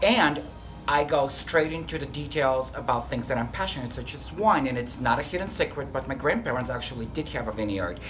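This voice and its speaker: A man's voice: mid-range voice, animated, fairly quick, a bit bright, soft background music. A man with a Bulgarian accent, a restaurant lead trainer answering interview questions earnestly and enthusiastically, sometimes correcting himself mid-sentence. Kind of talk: workplace interview.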